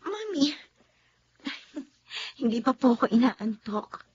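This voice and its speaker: A woman's voice: sits high, very animated, slow, darkish tone, slightly rough, exaggerated voice. A woman playing a giggly smitten young coordinator. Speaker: Sabrina, event coordinator. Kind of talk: Tagalog radio drama acting. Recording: dull lo-fi audio with faint hiss.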